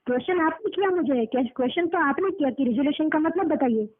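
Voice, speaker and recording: High-pitched, confrontational woman on phone. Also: lively intonation, fast. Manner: confrontational, lecturing, repeats phrases for emphasis. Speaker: advocate arguing on behalf of a customer. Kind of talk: argumentative phone call, questioning. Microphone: telephone. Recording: low-fidelity recorded phone call.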